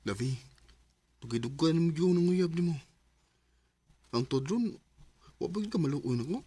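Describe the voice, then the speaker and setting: A man's voice: fairly high pitch, animated, unhurried, warm tone, breathy edge, dry recording. An actor playing a shy, earnest young man confessing his love in a radio drama. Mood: pleading. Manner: nervous, bashful confessing. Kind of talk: Visayan radio drama acting.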